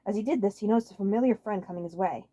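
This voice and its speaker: A woman's voice: medium pitch, racing, warm tone. A woman reading fan fiction aloud as a scripted story narrator on a home microphone.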